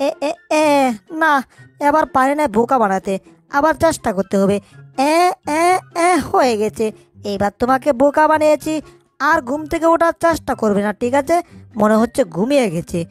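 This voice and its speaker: Woman voicing a high-pitched bossy little mouse. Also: animated, moderate pace, soft background music. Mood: scolding, scheming. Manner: scolding, self-narrating. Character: small, crafty, bossy, busybody cartoon mouse. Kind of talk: cartoon dub in Bangla.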